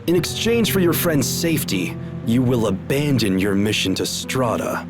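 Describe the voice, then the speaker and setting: An actor playing a haughty, scheming noble officer in a video game, with a smug, mannered menace. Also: male voice, mid-range voice, big pitch swings, moderate pace, lightly acted. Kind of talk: dramatic video game voice acting. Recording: music behind the voice.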